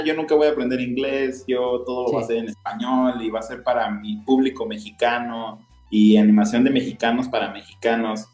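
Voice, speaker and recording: A man's voice: medium pitch, darkish tone. Male podcast host, casual and talkative, echoey room, faint music. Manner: casual, chatty, quick asides. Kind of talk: podcast interview. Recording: roomy echo with faint music.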